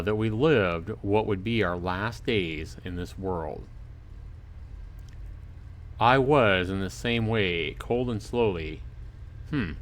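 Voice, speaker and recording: A man chatting informally and jokingly with viewers as he reads and comments on a visual novel on a livestream. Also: low-pitched. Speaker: livestreaming gamer reading a visual novel to chat. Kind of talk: casual livestream play-through commentary mixed with reading aloud.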